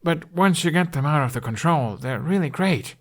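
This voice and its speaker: A man voicing a defiant, sarcastic young Viking dragon rider in an audiobook, sharp and contemptuous. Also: fairly high pitch, animated, moderate pace, voice pushed up. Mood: earnest.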